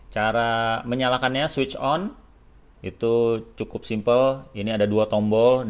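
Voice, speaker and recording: A man giving a casual, hands-on product demonstration step by step, informally and with short check-in tags. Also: lowish voice. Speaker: product tutorial presenter for a helmet shop.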